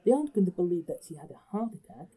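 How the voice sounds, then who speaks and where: Nervous lispy care home worker, meek, super mellow tone, audio drama. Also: male voice, high-pitched, very animated, very fast, slightly rough, exaggerated voice. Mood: uneasy. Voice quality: lisp. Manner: reluctant, meek. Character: timid, uneasy, obedient male care home worker. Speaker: actor.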